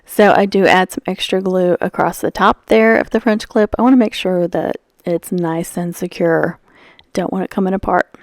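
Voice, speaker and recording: A woman's voice: fairly low pitch, fairly even intonation, moderate pace, no room sound, clean studio sound. Scratchy-voiced woman chatting through crafts. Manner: rambling, chatty, lots of fillers. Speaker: craft tutorial host. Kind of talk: casual voice-over while crafting.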